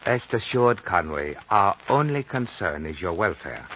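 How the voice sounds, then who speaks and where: An actor playing a courteous, composed official of a mountain lamasery in a formal, measured 1950 radio drama style. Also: male voice, deep voice, sing-song, a bit bright, heavily acted. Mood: formal, reassuring. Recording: dull noisy 1950 radio broadcast.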